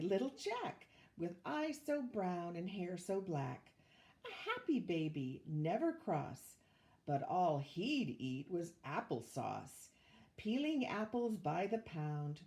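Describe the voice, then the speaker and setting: An older woman reading a rhyming children's picture book aloud with measured, expressive storytelling. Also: medium pitch. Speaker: volunteer storybook reader, retired teacher.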